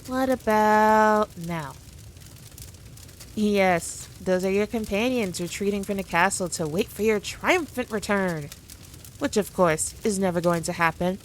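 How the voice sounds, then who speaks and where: A haughty demon queen, acted by a woman, addresses the listener in a mid-pitched voice. She is smug and dominant, taunting with playful condescension in an ASMR roleplay, over noticeable background ambience. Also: a little slow, a little hiss or hum, lightly acted. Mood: teasing.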